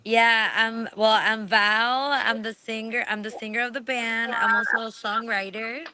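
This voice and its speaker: A woman from Colombia with a bright, higher voice chats cheerfully. She is a singer and songwriter talking about her band. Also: flat delivery, measured pace, slight background noise. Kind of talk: casual interview chat.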